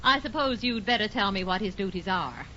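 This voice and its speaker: A woman playing a brisk, bossy, expressive housewife in a 1940s radio situation comedy. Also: mid-range voice. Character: brisk, no-nonsense housewife taking over the office. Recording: muffled 1940s radio recording, faint noise.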